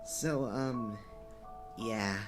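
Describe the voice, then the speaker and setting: A man voicing an awkward, mild-mannered teenage boy in an animated comic dub, halting and apologetic. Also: mid-range voice, music in the background. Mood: uneasy, apologetic.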